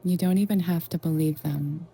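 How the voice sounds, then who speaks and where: A woman leading a guided meditation in a gentle, reassuring, unhurried way with long pauses. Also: deep voice, steady tone, breathy edge. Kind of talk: soothing guided meditation narration.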